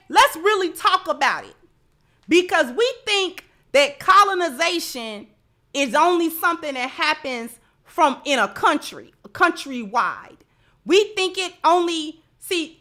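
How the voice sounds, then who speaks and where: A woman with a high, bright, forceful voice delivers livestream commentary. She speaks slowly and deliberately, leaning on repeated phrases for emphasis as she addresses her viewers.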